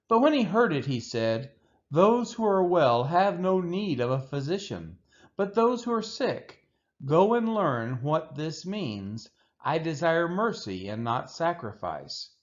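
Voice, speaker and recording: Guy reading the bible out loud, slow n deliberate, lots of up and down in pitch, kinda dull lo-fi audio. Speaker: Bible reader. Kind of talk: scripture read-aloud narration.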